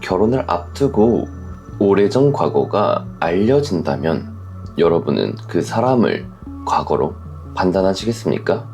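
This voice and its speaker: A man hosting a relationship advice show, delivering scripted commentary in a measured, reflective and polite way. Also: low-pitched, sing-song, a little mellow, faint music behind. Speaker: host of a relationship story channel.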